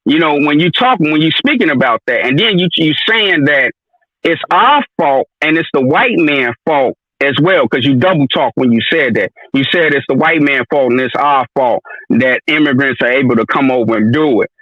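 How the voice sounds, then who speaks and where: A man's voice: on the high side, animated, moderate pace, no room sound. A man speaking up in an online audio room, lecturing at length with many fillers and restarts. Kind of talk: audio chat room discussion. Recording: tinny phone-like audio.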